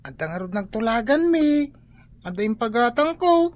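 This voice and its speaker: A woman's voice: a little high, very animated, moderate pace. A woman with a Filipino accent playing an inquisitive daughter in a radio drama. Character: inquisitive daughter pestering her mother with questions.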